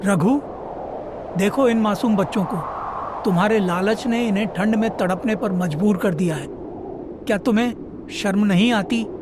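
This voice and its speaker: Outspoken cartoon villager done by an actor, reproachful, steady mid voice, noisy background. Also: mid-range voice, moderate pace, long pauses, lightly acted. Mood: indignant. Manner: indignant, reproachful. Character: outspoken adult villager, indignant and firm.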